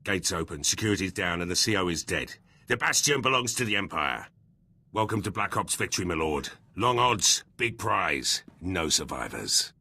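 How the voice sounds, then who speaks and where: An actor voicing a gruff, battle-hardened soldier in a video game, terse and blunt, speaking in clipped sentences. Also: male voice, deep voice, sing-song, moderate pace, sharp tone, slight background noise, full character voice. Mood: proud, matter-of-fact. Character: gruff, battle-hardened male military commando, loyal and brash.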